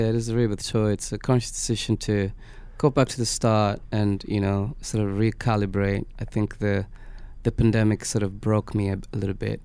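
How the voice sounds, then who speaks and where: Gravelly-voiced man, hesitant. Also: on the low side, warm tone. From Kenya. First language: Swahili. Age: young adult. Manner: hesitant, searching for words. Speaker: singer-songwriter guest. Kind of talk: casual interview answers. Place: radio studio.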